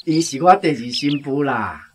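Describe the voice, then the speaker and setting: A man's voice: on the high side, expressive, moderate pace, a little hiss or hum, close to an everyday voice. A man playing a bossy but genial older head of a village household in a radio drama, with a Taiwanese accent. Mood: proud, hospitable.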